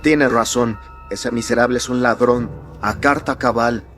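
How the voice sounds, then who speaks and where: A man's voice: medium pitch, fairly even intonation, measured pace, fairly bright tone, soft background music, voice pushed up, close to an everyday voice. A man playing an outraged, gossiping townsperson in a melodramatic colonial radio drama, full of disapproval. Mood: indignant.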